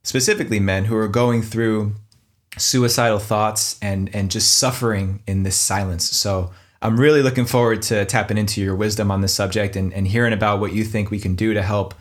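Deep-voiced earnest man hosting a podcast. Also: fairly even intonation, brisk. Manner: earnest, enthusiastic, effusive praise. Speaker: podcast host. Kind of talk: podcast intro and interview.

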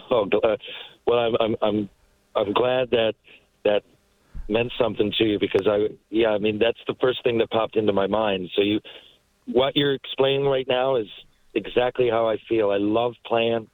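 A man's voice: fairly low pitch, fairly even intonation, normal speed, no room sound, low-quality recording. A man in his forties from Newfoundland, a guest who talks in a heartfelt, rambling way with many restarts. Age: 40s. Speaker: guest, retired hockey player. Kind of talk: casual interview talk.

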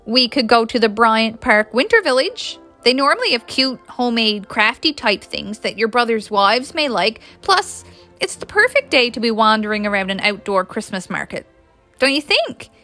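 Lady doing a playful big kid of a guy from a story, newfoundland accent, casual, bright voice. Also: a little high, sing-song, normal speed, soft background music. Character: playful, stubborn young man, big kid at heart.

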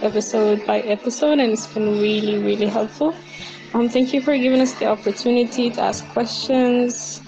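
A woman from Sudan records an eager voice message for a podcast, pausing with fillers as she speaks. Her voice sits a little high, and clear music plays behind it. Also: low-quality recording. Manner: eager, hesitant, with many fillers. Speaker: listener calling in.

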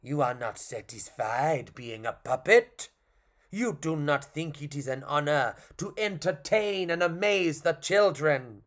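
A man's voice: a little high, animated, a little slow, fairly bright tone. A man voicing a grumpy, theatrical clown puppet with a put-on French accent for a children's story. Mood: solemn.

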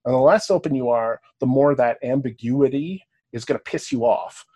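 A man's voice: mid-range voice, normal speed, dark tone, slightly rough. A man with a Canadian accent, speaking as a podcast guest in a casual, lecture-like discussion, thinking aloud, often checking in with "right?" and now and then swearing.

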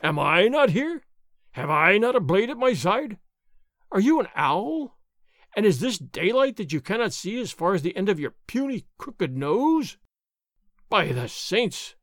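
Boastful, thundering army sergeant, acted by a male reader, high and wildly swooping, for an adventure storybook. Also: very animated, normal speed, no room sound, clean studio sound. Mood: scornful bluster. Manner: blustering, thundering, theatrical. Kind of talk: dramatized adventure storybook reading.

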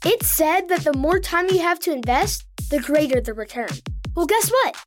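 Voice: very high voice, lively intonation, moderate pace. A child podcast host reading a scripted promo. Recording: prominent music bed. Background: music.

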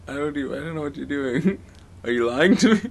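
A man's voice: on the high side, expressive, vocal fry. A man co-hosting a podcast, bantering loosely and laughing often between lines. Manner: giggly, drawn-out, loose. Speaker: podcast co-host. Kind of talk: casual banter recording outtakes.

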